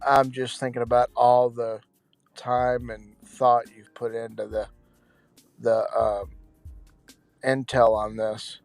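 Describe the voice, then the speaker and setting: A man's voice: mid-range voice, flat delivery, takes it slowly, vocal fry, pauses often, no echo, soft background music. A man chatting casually as a livestream guest, reflective and agreeable in a conversational way. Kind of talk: casual case discussion.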